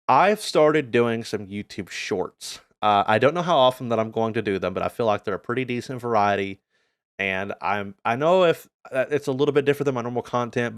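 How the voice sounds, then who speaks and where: A man's voice: medium pitch, clean tone, no echo, clean studio sound. A North American man giving a casual channel update as a gaming YouTuber, talking informally and earnestly in a rambling way.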